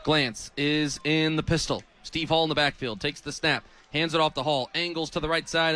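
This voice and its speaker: A young American man calling live football play-by-play on radio, speaking in crisp, clipped phrases. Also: a little high. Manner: crisp, clipped phrases that build to excited calls on big plays. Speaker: play-by-play radio sports announcer.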